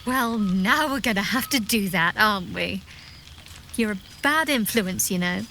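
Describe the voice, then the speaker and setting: A woman's voice: medium pitch, animated, unhurried, sharp tone, a little hiss or hum. An actress playing an affectionate, teasing young woman adventurer in a video game, with a playful, wry manner. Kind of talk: video game character acting.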